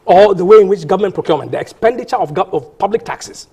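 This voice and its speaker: A man's voice: sits high, lively intonation, fast, mellow, husky. A man, a panel guest arguing insistently in a heated TV debate, often restarting and repeating words.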